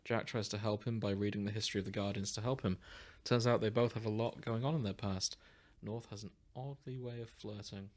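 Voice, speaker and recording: A man with a fairly low voice chats as a podcast host. His delivery is casual and enthusiastic, full of joking banter with his co-hosts. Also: fairly even intonation, a little fast, few pauses.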